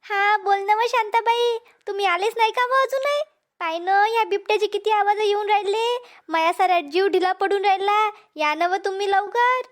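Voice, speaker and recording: A woman acts out a frightened village housewife for an amateur cartoon comedy. The voice is piercingly high and plaintive, pleading in a rural Vidarbha Marathi accent. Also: normal speed.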